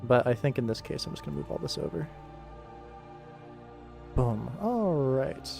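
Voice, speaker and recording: A man's voice: mid-range voice, sing-song, moderate pace, creaky, warm tone, soft background music. A man hosting a casual livestream, thinking aloud in a laid-back way as he works. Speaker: animator, livestream host. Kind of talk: casual livestream work commentary.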